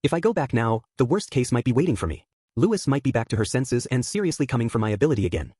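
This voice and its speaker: A man's voice: mid-range voice, animated, fast talker, a little mellow, slightly rough, no echo, clean studio sound. A man voicing a stubborn young man who speaks with desperate defiance, in a read-aloud story. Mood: defiant.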